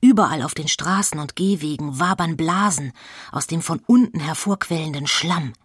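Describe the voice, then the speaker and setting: Nosy newspaper reporter, raspy low voice, suspenseful narration, dry sound, children's radio drama. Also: female voice, normal speed, dry recording. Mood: ominous. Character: forward, nosy female newspaper reporter narrating a spooky adventure.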